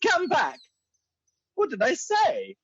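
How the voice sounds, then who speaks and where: An actor playing a gloating, wailing villain in a radio pantomime. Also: male voice, extremely high pitch, very animated, slow, gravelly, no room sound, lo-fi sound, heavily acted. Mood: gloating, calling out.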